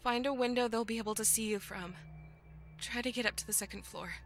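A woman's voice: fairly high pitch, a little fast, soft background music, close to an everyday voice. An actress playing an anxious, urgent woman friend on a phone call in a video game, in hiding and concerned.

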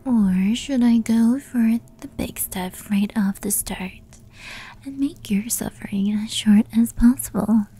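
Woman playing a slow, whispering seductive demoness. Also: fairly high pitch, dark tone. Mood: predatory, seductive. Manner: teasing, predatory, breathy whispering. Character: seductive, menacing female soul-eating demon, toying with prey. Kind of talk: whispered ASMR roleplay.

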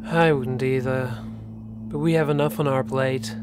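A man's voice: medium pitch, steady tone, unhurried, music in the background, close to an everyday voice. An actor playing a dry, weary, pragmatic man in a horror audio drama.

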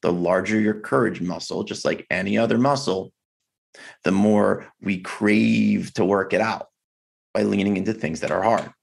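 A man speaking candidly as a podcast guest over a video call, reflective and halting, with many fillers and restarts. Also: on the low side, fairly even intonation, vocal fry. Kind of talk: candid conversation.

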